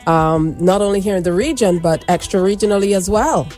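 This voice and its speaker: A woman's voice: medium pitch, fairly quick, darkish tone, faint music behind. A woman hosting a morning radio show, asking a guest upbeat, encouraging questions. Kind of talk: radio interview.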